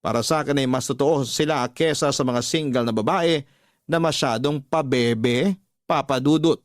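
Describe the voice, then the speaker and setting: A man's voice: medium pitch, normal speed, no echo, close to an everyday voice. Actor as confiding young man narrating, dry studio sound. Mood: confiding, unrepentant. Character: young man confessing his illicit affair by letter. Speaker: narrator of a letter-based radio drama. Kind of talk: dramatic scripted first-person narration. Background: faint music.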